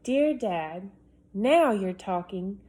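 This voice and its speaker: A woman's voice: on the high side, sing-song, takes it slowly, darkish tone, pitched above the performer's own voice, heavily acted. A woman voicing a pushy young boy who writes letters begging his dad for his own room, whiny and overdramatic. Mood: pleading.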